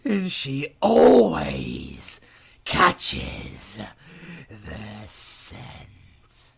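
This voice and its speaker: A man's voice: mid-range voice, wildly swooping pitch, drawn-out words, gravelly, a bit bright, slightly rough, exaggerated voice. A British man playing a sadistic, gloating male werewolf villain with a silky, menacing delivery that drops into whispers. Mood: gloating menace. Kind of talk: audio roleplay acting.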